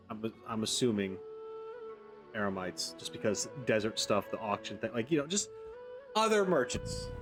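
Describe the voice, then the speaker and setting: A man streaming a game with casual let's-play commentary, rambling as he thinks aloud. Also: on the low side. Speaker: gaming streamer.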